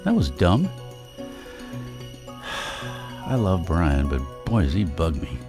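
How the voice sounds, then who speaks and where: Wistful old dad, slow and creaky. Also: male voice, low-pitched, big pitch swings, music in the background, full character voice. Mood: rueful, wry. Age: older. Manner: halting, wry, dramatic monologue. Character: wistful older father confessing from near death. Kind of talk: comic audio drama acting.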